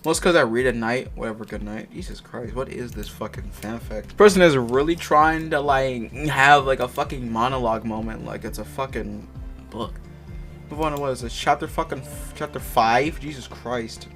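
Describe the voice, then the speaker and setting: A man with a medium-pitched voice reads fiction aloud in a loose, casual way, with music behind him. He stumbles over the text and drops in mocking, sweary commentary. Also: normal speed, a bit bright. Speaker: amateur fan fiction reader. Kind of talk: casual read-aloud of a story with asides. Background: background music.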